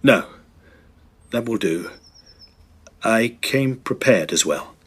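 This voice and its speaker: A man playing a determined, agitated young gentleman in a Victorian horror drama, speaking earnestly and emphatically in formal phrases. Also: on the low side, expressive, takes it slowly, breathy edge, full character voice. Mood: brisk, commanding.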